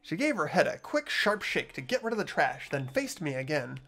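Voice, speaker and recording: A man's voice: on the high side, very animated, moderate pace, fairly bright tone. A man hosting a game playthrough, reading narration and chatting casually with friends.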